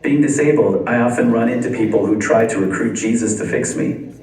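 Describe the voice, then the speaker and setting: A young Canadian man performing a stand-up comedy set, delivering dry one-liners deadpan at a live venue. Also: mid-range voice, brisk. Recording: echoey venue from far off.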